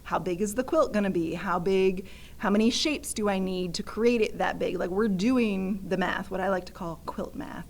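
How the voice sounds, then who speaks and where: A woman's voice: mid-range voice, moderate pace, creaky. A woman museum educator explaining things in a conversational way.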